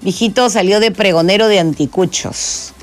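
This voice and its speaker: A woman's voice: mid-range voice, moderate pace. A woman with a Peruvian accent hosting live radio chat, effusive and affectionate in her manner. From Peru. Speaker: radio host.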